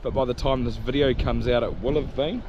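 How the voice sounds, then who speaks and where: Male farmer vlogger, hoarse and losing his voice, loose rambling chat outdoors, faint background hiss. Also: medium pitch, fairly quick, dull audio. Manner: relaxed, rambling, thinking aloud. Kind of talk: casual chat to camera. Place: outdoors on a farm paddock.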